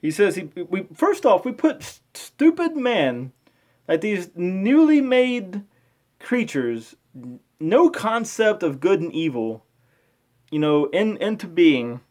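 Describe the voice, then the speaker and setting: A man giving informal spoken commentary as a video host, halting, repeating himself and arguing his points. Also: high voice, sing-song, a little mellow. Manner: halting, repetitive, argumentative.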